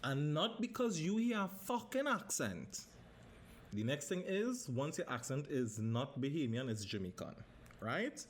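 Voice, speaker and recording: A man's voice: fairly high pitch, sing-song, a little slow. A man in his thirties from Trinidad with a Trinidadian accent, hosting a casual solo podcast, swearing freely and slipping into Caribbean dialect. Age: 30s. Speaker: podcast host.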